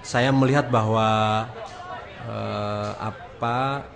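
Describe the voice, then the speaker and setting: A man speaking as a parliamentarian, earnest and measured, with halting emphasis in a semi-formal explanation. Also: fairly low pitch, fairly even intonation, gravelly, a crowd in the background. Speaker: member of parliament. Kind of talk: political commentary, semi-formal answer.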